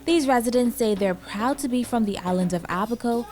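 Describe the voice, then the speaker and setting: A woman's voice: on the high side, fairly quick, darkish tone, faint music behind. A woman news reporter with a Bahamian accent, reading a scripted voice-over.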